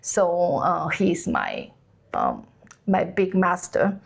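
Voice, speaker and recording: A woman whose first language is Chinese, a cook co-hosting a casual chat, talking haltingly as she searches for the right English words. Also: fairly low pitch, huge pitch swings, creaky. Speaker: cook and channel co-host. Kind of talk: casual conversation.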